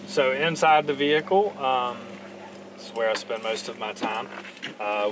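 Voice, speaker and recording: Guy in his 30s walking thru his truck setup, laid back, lots of ums, a bit far from the mic, outdoor noise behind. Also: mid-range voice. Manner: relaxed, plain-spoken, frequent "um"s. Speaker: vehicle owner giving a walkaround. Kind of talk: casual show-and-tell walkaround. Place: outdoors at a campground event, by a truck, then inside the cab.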